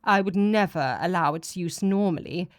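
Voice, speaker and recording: A woman voicing a cold, commanding god in an audiobook, speaking sternly and with calm ruthlessness. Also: on the low side, normal speed, no room sound, studio quality, lightly acted. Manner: stern, declaiming, calmly ruthless. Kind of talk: audiobook character reading.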